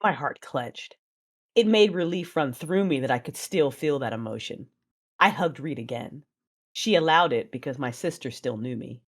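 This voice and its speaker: A woman acting as a controlled, proud Sith mother, narrating and speaking in a measured, intense way in an audio drama. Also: extremely low pitch, lively intonation. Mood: tender. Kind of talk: first-person dramatic narration and dialogue.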